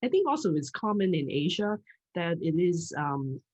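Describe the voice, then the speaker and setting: A woman's voice: lowish voice, sing-song, normal speed, a little mellow, breathy edge. A middle-aged woman from Hong Kong, a guest in casual chat, talking freely with frequent laughs and restarts. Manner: chatty, laughs often, many restarts.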